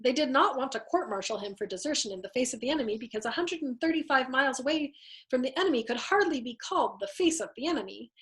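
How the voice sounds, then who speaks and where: Woman, slightly high pitch, storyteller, audiobook-style narration, reading fiction aloud. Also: fairly bright tone, poor audio.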